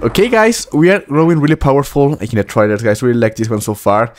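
A man's voice: mid-range voice, big pitch swings, fast talker. A man in his twenties, a native Spanish speaker, giving casual reaction commentary in English as a video host. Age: 20s. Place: small home room. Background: trailer music.

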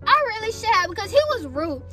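A young woman with an extremely high voice that swings widely in pitch. She talks in a dramatic, whiny, casual way for a prank vlog. She is inside a car, with prominent music behind her. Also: animated, quick pace, distant mic. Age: young adult. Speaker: prank vlogger. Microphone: camera mounted on the dashboard.

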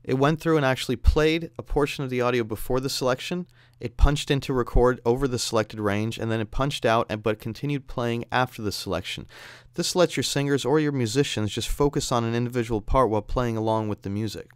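A man's voice: mid-range voice, brisk, clear, resonant tone, no echo, studio quality. A man narrating an instructional screencast in a conversational, explanatory way. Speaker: audio software instructor.

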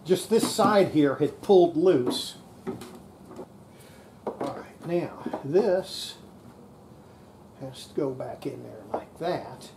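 Folksy older workshop tinkerer, very lively pitch. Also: slow pace, pauses often. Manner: folksy, talking while working. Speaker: radio repairer presenting a restoration. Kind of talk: casual hands-on workshop commentary. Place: indoor workshop. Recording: noisy workshop camera audio with clicks.

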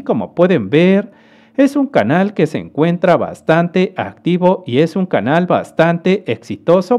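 A man hosts his own channel, talking through numbers for his viewers in a friendly, explanatory way. His voice sits a bit high and swings widely in pitch. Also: darkish tone. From Mexico. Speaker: YouTube earnings analyst host. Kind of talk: screen-recorded commentary. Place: voice-over to a screen recording.

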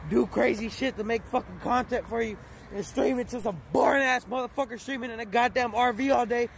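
A man's voice: very high-pitched, steady tone, a little fast, creaky. A young adult man livestreaming on a phone, ranting in a profane, combative, aggrieved way. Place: outdoors on a city street at night. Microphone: phone at arm's length. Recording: noisy outdoor phone livestream, street noise. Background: street and vehicle noise.

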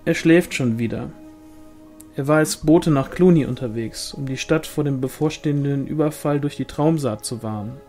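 A man's voice: mid-range voice, moderate pace, a little mellow, soft background music, natural voice. A man voicing a defensive young village widow in an audiobook, brusque and guarded.

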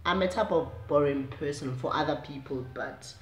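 A young South African woman with a low voice, chatting casually to the camera in a small room at home, animated and chatty. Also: moderate pace, soft background music. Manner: chatty, animated, gestures while talking. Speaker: vlogger. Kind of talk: casual direct-to-camera chat. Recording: echoey room.

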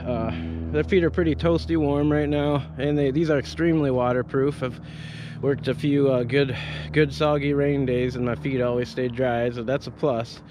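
A man's voice: mid-range voice, fairly even intonation, normal speed, background noise. A man in his thirties, an arborist, giving a casual, rambling gear review from up a tree outdoors on a head-mounted camera, full of fillers and restarts. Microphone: head-mounted action camera.

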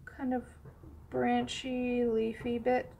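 A woman with a high voice, sniffly from a head cold, talks her way through a coloring tutorial at her desk. Her speech wanders and restarts, full of ums, and now and then she drops to a soft whisper while she draws. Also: monotone, slow, creaky. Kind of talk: casual real-time drawing tutorial.

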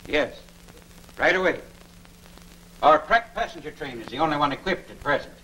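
Middle-aged railroad boss, 1940s serial: bright, higher voice, formal, earnest, old soundtrack. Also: male voice, expressive, moderate pace. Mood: proud, businesslike. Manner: formal, declamatory. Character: earnest male railroad executive, proud and excitable. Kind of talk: 1940s film serial acting. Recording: slightly noisy old film soundtrack.

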